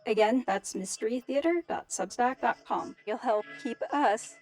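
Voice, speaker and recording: Female announcer, fairly high voice, scripted promo read over music. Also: normal speed, a little mellow.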